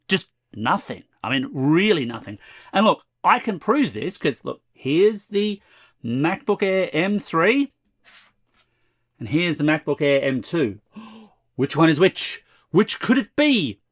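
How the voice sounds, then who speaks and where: Slightly hoarse man reviewing gadgets. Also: on the high side, big pitch swings, takes it slowly, no room sound, studio quality. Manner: chatty, wry, complaining. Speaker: tech reviewer. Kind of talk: casual product review to camera. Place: home room with shelves.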